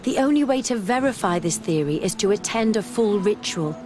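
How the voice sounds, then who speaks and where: An actress playing an earnest, scholarly young woman researcher in a fantasy video game, speaking in measured, formal phrases. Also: medium pitch, lively intonation, a little mellow, soft background music, close to an everyday voice. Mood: intent, curious. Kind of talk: video game dialogue acting.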